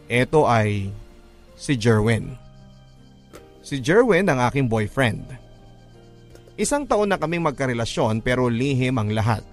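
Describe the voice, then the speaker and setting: A man with a Filipino accent reads a first-person story in an emotive, earnest, classic radio drama narration style. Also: mid-range voice. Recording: narration over background music. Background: background music.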